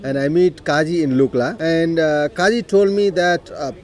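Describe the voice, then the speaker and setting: A middle-aged man with a Nepali accent, telling his life story in an unhurried way, with frequent "you know" and throat clearing. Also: fairly high pitch. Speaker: interviewee. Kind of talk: personal life story told in conversation.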